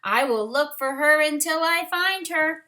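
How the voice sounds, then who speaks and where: A woman's voice: high-pitched, unhurried, pushed and bright, voice pushed up. A woman voicing a distraught, devoted little male bird from a storybook, in a plaintive, exclaiming manner. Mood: determined.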